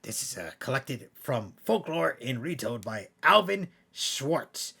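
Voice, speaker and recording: A man voicing Cerberus, a gruff, grumbling hellhound who hosts bedtime stories, with a gravelly, raspy voice. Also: mid-range voice, sing-song, slow pace, pushed and bright, heavily acted. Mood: storytelling. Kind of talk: scripted skit banter and horror storytelling.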